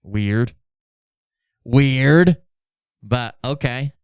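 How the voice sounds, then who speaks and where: A man's voice: medium pitch, drawn-out words, smooth, dry recording, clean studio sound. A man hosting a livestream, giving mocking, sarcastic commentary on social media posts in casual, sweary chat. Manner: sarcastic, sweary, mock-dramatic when reading posts out. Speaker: livestream host.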